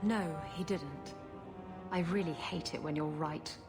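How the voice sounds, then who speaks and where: A woman's voice: low-pitched, a little slow, over music. A woman playing a roguish, sly pirate captain in a video game, wry, flippant and theatrical. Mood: exasperated.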